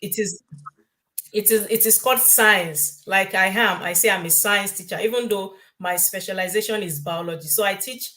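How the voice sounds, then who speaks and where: A woman's voice: medium pitch, normal speed, sharp tone, rough recording. A Nigerian woman, a science teacher, giving emphatic, reassuring advice in a casual panel chat.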